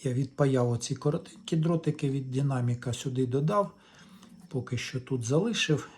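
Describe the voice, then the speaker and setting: A man's voice: medium pitch, moderate pace, darkish tone, a little hiss or hum. A man gives a casual, hands-on repair walkthrough, thinking aloud as he explains each step without hurry. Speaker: hobbyist electronics repairer presenting a video.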